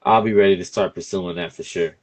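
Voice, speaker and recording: Deep-voiced man in his thirties, informal talker. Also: fairly even intonation, fairly quick. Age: early 30s. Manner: informal, peppered with "you know what I'm saying". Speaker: podcast host being interviewed. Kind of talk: casual conversational interview talk.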